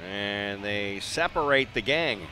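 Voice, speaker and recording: A man calling live ice hockey play-by-play in clipped phrases, excitable when the action heats up. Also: fairly low pitch, takes it slowly, faint music behind. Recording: live arena broadcast with background noise.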